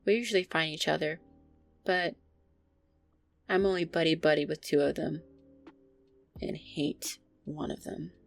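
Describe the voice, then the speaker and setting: A woman's voice: deep voice, fairly even intonation, a little slow, gravelly, pauses often, soft background music. A woman playing an affectionate, easily flustered, centuries-old vampire girlfriend, talking intimately and hesitantly to her listener in an audio roleplay. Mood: wistful, reluctant.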